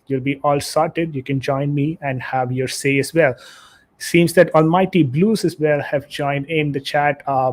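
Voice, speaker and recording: A man hosting a casual live football stream, reading out chat messages with many fillers. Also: a little high. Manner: reads chat messages aloud, hesitant with fillers.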